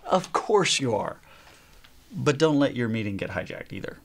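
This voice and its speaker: An actor in his thirties playing a calm young pastor in a training film, explaining in a measured way. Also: mid-range voice. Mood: instructive. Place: small meeting room.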